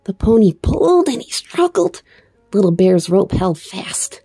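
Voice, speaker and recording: A woman storyteller giving an expressive read-aloud narration of a children's book. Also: medium pitch, measured pace, slightly rough, no room sound, clean studio sound.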